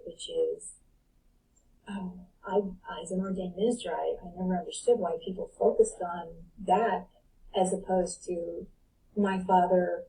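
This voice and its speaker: Woman guest coach: very dark, mellow voice, emphatic and repetitive, heard from a distance on the mic. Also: mid-range voice. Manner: emphatic, builds points by repetition. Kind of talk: reflective spiritual talk. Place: online live audio room.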